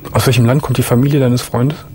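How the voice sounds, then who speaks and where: A man hosting a radio call-in show from a studio, calm and asking short follow-up questions. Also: medium pitch, quick pace, slight background noise. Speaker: late-night call-in radio host. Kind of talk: relaxed call-in radio talk. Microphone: studio microphone.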